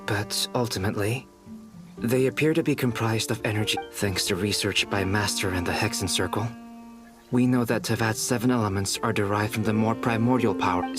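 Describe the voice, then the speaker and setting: A man playing a calm, erudite young alchemist in a video game, speaking in a composed, precise, scholarly manner. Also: fairly low pitch, natural voice. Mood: calm, explanatory. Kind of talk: scripted video game voice acting.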